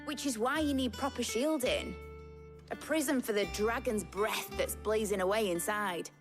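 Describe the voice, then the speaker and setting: An actress playing a brash, keen young ship engineer in a video game, with a British accent and brisk, colloquial, confident delivery. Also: female voice, fairly high pitch, lightly acted. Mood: businesslike, stumped. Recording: loud music behind the voice.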